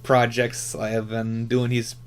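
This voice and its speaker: A man with an average-pitched voice talks off the cuff like a hobbyist vlogger. His delivery is laid-back and rambling. He halts often, leaning on fillers as he gathers his next thought. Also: monotone, unhurried, smooth. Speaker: hobby radio YouTuber. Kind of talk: casual unscripted chat, reviewing channels.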